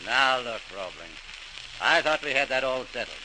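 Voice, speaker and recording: A man's voice: medium pitch, lively intonation, normal speed, bright, forceful tone. An actor playing a skeptical, brusque male official in old-time radio drama, speaking bluntly and dismissively on a noisy old recording. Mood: dismissive, exasperated. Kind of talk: 1940s radio drama acting.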